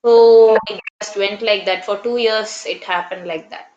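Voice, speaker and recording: A woman in her thirties from Tamil Nadu speaks English with a Tamil accent, her first language being Tamil. She talks in an earnest, reflective way, pausing on fillers and restarting her sentences. Her voice sits in a middle range and echoes around the room. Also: mid-range voice, steady tone, darkish tone, lo-fi sound, a little hiss or hum. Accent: Tamil Indian English. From Tamil Nadu, India. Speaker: English trainer. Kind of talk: casual conversation practice. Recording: roomy echo.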